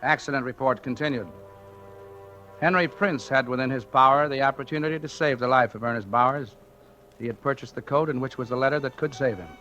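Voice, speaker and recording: An actor playing a methodical, portentous narrator reciting an accident report in a 1940s radio drama. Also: male voice, medium pitch, steady tone, brisk, pushed and bright, faint music behind, natural voice. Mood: grave. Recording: dull old radio broadcast recording.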